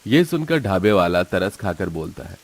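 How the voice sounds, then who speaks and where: A man's voice: deep voice, sing-song, moderate pace. A man narrating a scripted, dramatic story.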